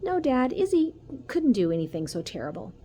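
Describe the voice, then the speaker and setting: A woman's voice: medium pitch, sing-song, a little fast, warm tone. A woman voicing an earnest, loyal schoolboy detective in a storybook, eager and insistent. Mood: protesting. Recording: noticeable background noise.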